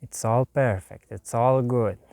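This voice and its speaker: A man from Lithuania with a Lithuanian accent, giving a calm, meditative spiritual talk in a slow, soft way with long pauses. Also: mid-range voice, gravelly, no room sound. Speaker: spiritual teacher.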